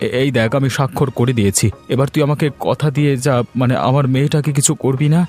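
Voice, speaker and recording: A man's voice: mid-range voice, steady tone, fast talker, darkish tone, lightly acted. A man voicing a wronged, protective father and elder brother who pleads earnestly in a cartoon. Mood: pleading. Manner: earnest, imploring. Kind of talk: Bengali moral-story cartoon dubbing. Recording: music under the voice.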